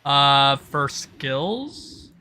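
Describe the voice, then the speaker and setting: A man with a bright, forward voice at an average pitch runs a tabletop roleplaying game as game master. He explains things casually and agreeably, over faint background noise. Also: expressive, extremely slow.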